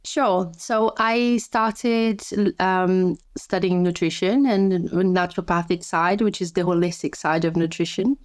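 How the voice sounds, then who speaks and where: Smooth-voiced Brazilian-born woman, lowish and dry. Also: dry recording. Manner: chatty, restarts sentences, laughs easily. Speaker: podcast guest, sleep health coach. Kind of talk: casual interview chat.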